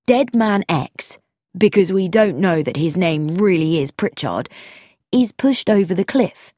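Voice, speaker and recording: A woman reading aloud and voicing a thoughtful, easygoing young man, speaking slowly in a plain, lowered tone. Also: lively intonation, dark tone, no room sound, clean studio sound.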